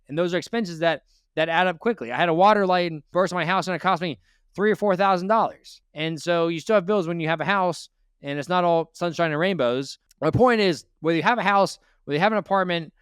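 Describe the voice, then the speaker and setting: Man, finance host, blunt and lecturing, smooth clear voice, higher pitch, dry close sound. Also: fairly bright tone, dry recording. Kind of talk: financial audit interview.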